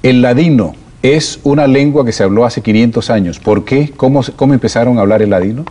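Mellow-voiced male TV host. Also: medium pitch, a little fast. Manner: leading questions and summaries. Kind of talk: TV interview.

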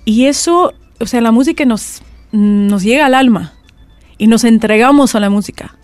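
A woman's voice: a little high, normal speed, dry recording. A woman with a mixed Spanish, Argentine and Mexican accent, a language teacher chatting in an interview and telling stories with lots of restarts. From California, USA. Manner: chatty, animated storytelling with restarts. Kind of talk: conversational interview.

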